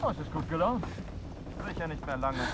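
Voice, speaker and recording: An actor playing a grumbling soldier, chatting in a muttered way in a video game scene. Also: male voice, expressive, brisk, close to an everyday voice. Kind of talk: background game dialogue in German. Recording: quiet voice under rumbling vehicle noise.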